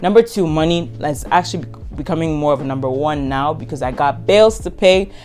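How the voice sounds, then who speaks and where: A Nigerian woman in her twenties talks through a casual question-and-answer vlog. She speaks quickly and frankly, leaning on repeated words to drive points home, with soft music in the background. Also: low-pitched. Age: 20s. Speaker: content creator.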